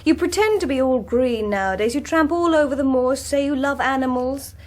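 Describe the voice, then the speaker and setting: An actress playing a cheeky, indignant young daughter with an English accent, scolding and sharp-tongued in a radio drama. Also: female voice, high voice, lively intonation, fairly quick. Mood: indignant, mocking. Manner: accusing, cheeky. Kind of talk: British radio drama acting.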